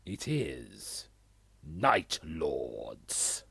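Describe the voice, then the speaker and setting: An actor playing a grim, secretive pastor who speaks slowly and solemnly, dropping into whispers, in a fan audio drama. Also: male voice, a bass voice, wildly swooping pitch, gravelly, pushed and bright, slightly rough, dry recording, studio quality, full character voice. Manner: slow, solemn, drops to whispers. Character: grim older male pastor, stern and secretive.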